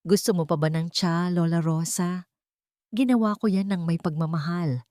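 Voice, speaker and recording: Woman storyteller as scheming daughter-in-law, cold, sugary sarcasm, pressuring, audio drama, mellow tone, dry sound. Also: lowish voice, moderate pace, no echo, close to an everyday voice. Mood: falsely sweet. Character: sharp, menacing daughter-in-law with falsely sweet tone.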